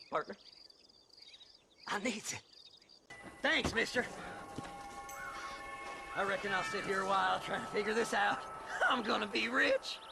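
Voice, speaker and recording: Unhinged backwoods grave robber, rural American accent, very high creaky voice, manic muttering, game cutscene. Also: male voice, background noise. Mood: brooding, muttering. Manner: muttering, stammering, cackling, folksy. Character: crazed, grimy male treasure-hunting grave robber, manic and unhinged. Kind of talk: video game cutscene acting.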